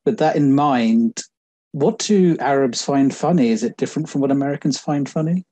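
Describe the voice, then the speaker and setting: A man interviewing a guest, asking measured questions with hesitations. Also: mid-range voice, warm tone, breathy edge. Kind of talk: conversational interview.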